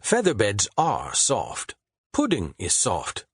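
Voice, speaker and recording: A man voicing a theatrical poet in his forties, who declaims his lines slowly and loftily with a husky voice. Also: on the low side, wildly swooping pitch, no echo, heavily acted. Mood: scolding, theatrical. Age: 40s. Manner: drawn-out, declaiming, slow and lofty. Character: theatrical poet, 41-year-old man, grandly wordy.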